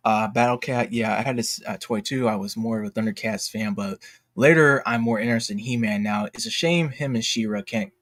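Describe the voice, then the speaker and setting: A man's voice: lowish voice, normal speed, a bit bright. A man hosting a casual livestream, speaking in informal slang with a self-deprecating, jokey manner and often repeating himself as he goes. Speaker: livestream host.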